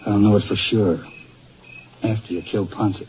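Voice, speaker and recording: An actor playing a touchy, cold-blooded young outlaw with an American accent, guarded and curt, in 1950s radio western style. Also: male voice, lowish voice, fairly even intonation, moderate pace, natural voice. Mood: cold, plotting. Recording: dull, hissy vintage radio recording.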